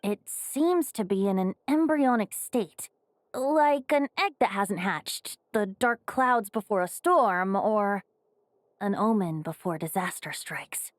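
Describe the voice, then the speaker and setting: A woman's voice: medium pitch, animated, takes it slowly. A woman voicing a whimsical, teasing bard in a video game, poetic and musing. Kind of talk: animated game dialogue.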